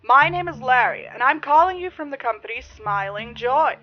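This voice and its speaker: A woman's voice: sits high, expressive, moderate pace, muffled recording, soft background music, voice pushed up. A woman voicing a male sales caller in a horror story, insistent and falsely polite, with a chirpy, sinister manner. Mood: chirpy, pushy.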